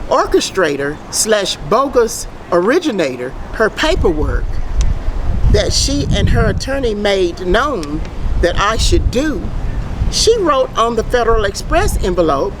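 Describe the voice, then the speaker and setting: A middle-aged woman reads a prepared statement aloud in a deliberate, formal, emphatic way. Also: a little high, background noise. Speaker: private citizen giving a public statement.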